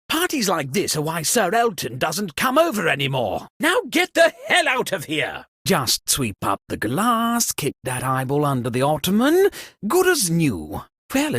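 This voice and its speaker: A man's voice: a little high, a bit bright, slightly rough, dry recording, clean studio sound, heavily acted. A man voicing a fussy, exasperated upper-class British party host in a comic video game, with a pompous, theatrical and sardonic delivery. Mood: huffy farewell, then wry, resigned.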